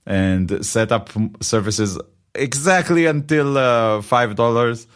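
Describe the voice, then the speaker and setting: A man's voice: medium pitch, lively intonation, slow, smooth. A man with an Israeli accent co-hosting a podcast, chatting casually and joking about himself. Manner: chatty, joking, self-deprecating. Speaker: podcast co-host.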